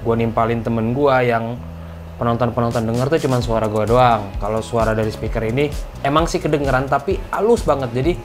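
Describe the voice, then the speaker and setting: A man's voice: medium pitch, fairly even intonation, fairly quick, soft background music. A man with a Jakarta Indonesian accent talks as a motovlogger in a casual, colloquial review style, explaining things as he goes. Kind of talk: casual product review vlog.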